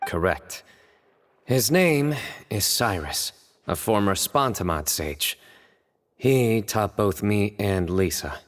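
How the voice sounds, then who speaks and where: An actor playing a composed young male investigator in a video game, measured and formal with dry humour. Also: lowish voice, lively intonation, no room sound. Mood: serious, composed.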